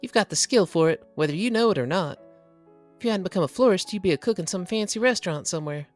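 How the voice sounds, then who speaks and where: A brash, foul-mouthed young woman friend, as a male reader puts her on, loud and emphatic. The voice sits far above the reader's own and swings widely. Soft music plays underneath. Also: high-pitched, animated, fast talker, pitched above the performer's own voice. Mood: enthusiastic.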